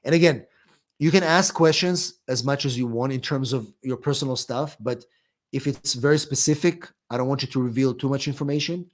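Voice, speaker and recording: A man's voice: medium pitch, brisk. A middle-aged man from Israel with an Israeli accent, an attorney hosting a live question-and-answer stream, talking casually with many fillers and restarts.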